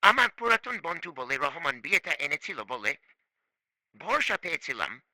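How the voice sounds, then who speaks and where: A stern, worried cartoon father acted by a man. His voice is very bright and tense, pitched a little high, and it swings widely as he complains. The recording is close and dry. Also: very animated, moderate pace, no room sound, full character voice. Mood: worried, regretful. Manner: reproachful, emphatic, complaining. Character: stern, proud, worried middle-aged father. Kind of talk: cartoon voice acting.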